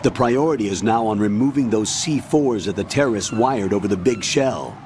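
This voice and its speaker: An actor playing a stern, authoritative older military commander in a video game, giving a measured, commanding briefing. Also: male voice, fairly low pitch, a little mellow, slight background noise, close to an everyday voice. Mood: brisk, stern.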